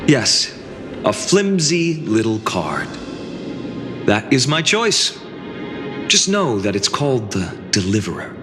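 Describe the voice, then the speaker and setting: A man's voice: medium pitch, sing-song, takes it slowly, pauses often. A man playing an earnest, resolute young soldier hero in a fantasy game, speaking solemnly. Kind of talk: dramatic fantasy game voice acting. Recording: long reverb, music behind.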